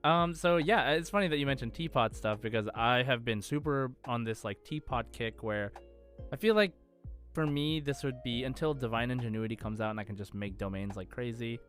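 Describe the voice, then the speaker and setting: A man's voice: mid-range voice, brisk, a bit bright. Man chatting on a gaming podcast over music. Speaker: podcast host. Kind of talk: casual gaming podcast chat.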